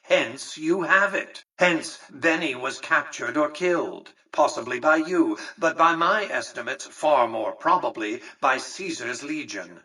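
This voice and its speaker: An actor playing an imperious, calculating elderly tycoon in a video game, speaking formally with precise, condescending diction. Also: male voice, on the high side, animated, unhurried, hardly any pauses, bright, forceful tone, husky, distant mic, full character voice. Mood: condescending, deductive. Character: imperious elderly male tycoon ruling a casino city, coldly calculating. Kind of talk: scripted game dialogue.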